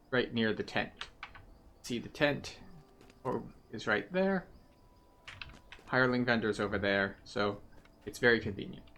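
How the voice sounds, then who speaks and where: A man's voice: medium pitch, a bit bright, a little hiss or hum. A man giving explanatory, methodical commentary as he guides listeners through a video game playthrough.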